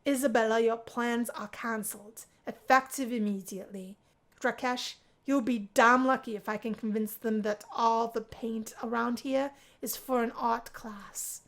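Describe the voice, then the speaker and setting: A woman's voice: on the high side, steady tone, a little slow, a bit bright. A woman voicing an angry, bossy male college roommate in a visual novel, stern and commanding. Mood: furious.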